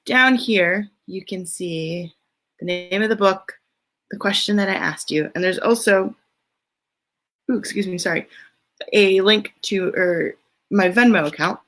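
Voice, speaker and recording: A woman hosting a children's story time, reading aloud and chatting informally, often thinking out loud as she goes. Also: medium pitch, unhurried, long pauses. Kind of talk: reading a picture book aloud, then casual chat to children.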